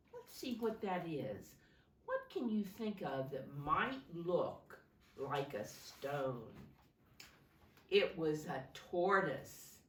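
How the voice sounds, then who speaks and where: A woman teacher reads aloud to young children at a slow pace with very animated pitch. She pauses to explain in simple words and ask questions. The recording is roomy and a little distant from the microphone. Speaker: preschool teacher reading aloud. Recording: echoey room, slightly distant microphone.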